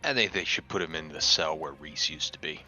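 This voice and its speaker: A man's voice: a bass voice, a little fast, sharp tone, soft background music. A man playing a tabletop game, joking crudely and casually. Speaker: player.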